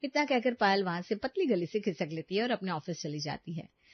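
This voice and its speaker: A woman's voice: medium pitch, sing-song, a little fast, dry recording, muffled recording. A woman narrating a scripted story as its storyteller.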